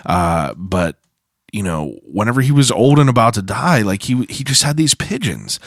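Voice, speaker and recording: Hoarse man rambling in slang. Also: medium pitch, no room sound, clean studio sound. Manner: loose, rambling slang-heavy storytelling. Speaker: podcast host. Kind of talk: casual podcast monologue.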